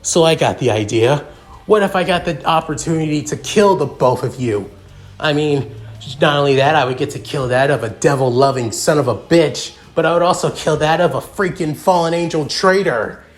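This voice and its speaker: A man's voice: fairly high pitch, moderate pace, lightly acted. A man voicing a vicious, foul-mouthed rogue exorcist who taunts and swears in an agitated way, in a story reading. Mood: gloating, hostile.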